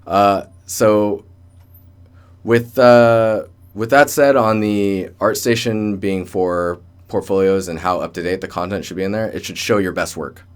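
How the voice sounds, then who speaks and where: The low-pitched young guy you'd hear late on an art livestream, joking with chat and rambling through feedback. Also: fairly even intonation, measured pace, clear, resonant tone. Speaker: livestream host, digital artist. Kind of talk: casual livestream chat and portfolio critique. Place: indoor room with door closed.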